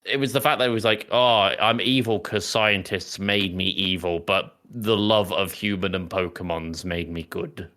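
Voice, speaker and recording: A man's voice: deep voice, steady tone, moderate pace, hardly any pauses, pushed and bright. A man co-hosting a podcast, chatting casually in a nerdy, explanatory way. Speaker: podcast co-host. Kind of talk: casual chat.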